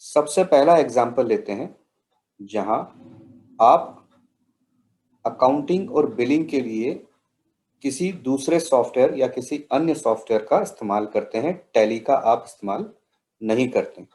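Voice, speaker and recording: Indian man narrating a software tutorial. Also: medium pitch, expressive, measured pace, long pauses, low-quality recording. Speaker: software trainer.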